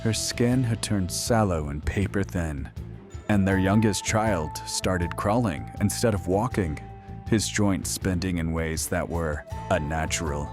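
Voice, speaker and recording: An actor playing an eerie, wryly playful late-night radio host, narrating horror in a measured, ominous way. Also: male voice, low-pitched, over music. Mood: ominous storytelling.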